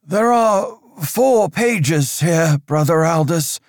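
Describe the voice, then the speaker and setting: A man voicing a fearful old priest whose shrill voice quivers as he preaches and accuses. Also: expressive, takes it slowly, no echo, studio quality. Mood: stern, worried. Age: older.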